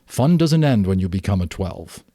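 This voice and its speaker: Man acting a storybook dad, gentle, playful, reassuring, mellow, dry recording. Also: deep voice, sing-song, moderate pace, dry recording, heavily acted.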